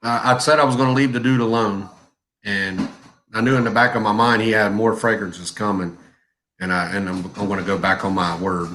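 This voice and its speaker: A man's voice: lowish voice, fairly even intonation, a little fast, a bit bright, a little hiss or hum. A man in his forties chatting casually on a live stream, laid-back, laughing often and telling long stories. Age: 40s. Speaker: co-host of a live chat stream.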